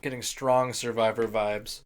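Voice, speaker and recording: A man's voice: mid-range voice, little pitch movement, measured pace. A man co-hosting a podcast, chipping in with casual conversational commentary. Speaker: podcast co-host.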